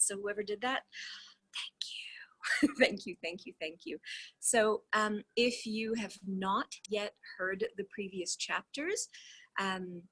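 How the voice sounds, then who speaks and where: A woman's voice: medium pitch, fairly bright tone, breathy edge, poor audio. A woman hosting a book read-along with casual asides, effusive and dramatically emphatic, drawing out words. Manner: effusive, gushing delivery. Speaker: host reading a book aloud.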